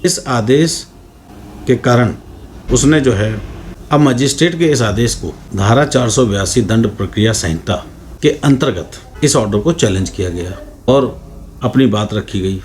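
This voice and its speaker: An Indian man giving an explanatory law lecture in a deliberate, formal way with frequent pauses. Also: mid-range voice, a little mellow, a little hiss or hum.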